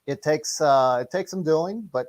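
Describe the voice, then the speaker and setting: Middle-aged man explaining in halting, rambling bursts. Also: on the high side. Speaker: martial arts instructor, podcast guest. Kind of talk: casual conversational interview.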